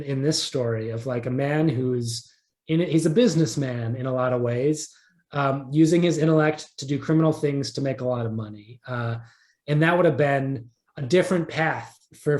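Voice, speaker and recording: A man being interviewed as a writer, talking casually and haltingly, often repeating words as he thinks aloud. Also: medium pitch, darkish tone. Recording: roomy echo.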